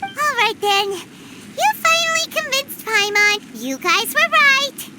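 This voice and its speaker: A woman's voice: very high-pitched, very animated, slow, pushed and bright, slight background noise, exaggerated voice. A woman voicing a tiny, brash floating companion creature who talks about herself by name. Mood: relieved.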